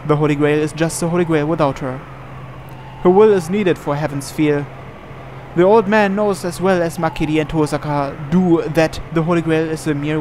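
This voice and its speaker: A man's voice: on the high side, a little mellow, a little hiss or hum. A man reading visual novel narration aloud dramatically, as a let's player.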